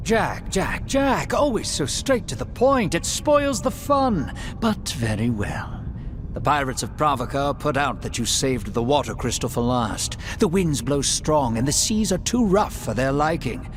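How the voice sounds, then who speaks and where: A man's voice: a little high, big pitch swings, unhurried, noisy background. A man playing a theatrical, teasing storyteller and schemer in a video game, with flowery, mock-grand delivery. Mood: playful, sly. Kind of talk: video game cutscene acting.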